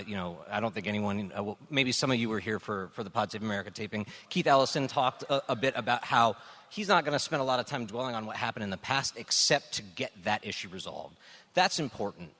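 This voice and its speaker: A man speaking as a panelist in a measured, analytical way during a political discussion at a live show. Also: fairly low pitch, animated, fairly bright tone. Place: indoor stage before a live audience. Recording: echoey hall, live stage.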